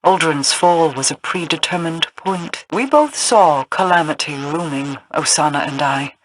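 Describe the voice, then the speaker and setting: Low, stately, enigmatic queen. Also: no room sound, poor audio. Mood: grave, wistful. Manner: formal, stately, deliberate. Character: regal, enigmatic queen, solemn and measured. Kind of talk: solemn video game monologue.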